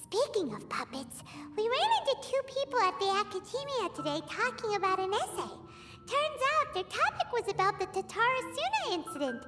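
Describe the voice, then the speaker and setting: Squeaky, excitable little fairy sidekick. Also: female voice, moderate pace, exaggerated voice. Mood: chatty, curious. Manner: speaks of self in third person, exclaims. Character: tiny excitable childlike fairy companion, chatty and curious. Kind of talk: video game voice acting. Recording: music behind the voice.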